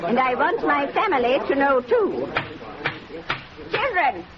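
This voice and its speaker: A woman's voice: sits high, very animated, measured pace, a crowd in the background. A woman playing an imperious, suspicious elderly matriarch in a 1940s radio drama, with a stern, commanding manner. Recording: muffled, noisy old radio recording.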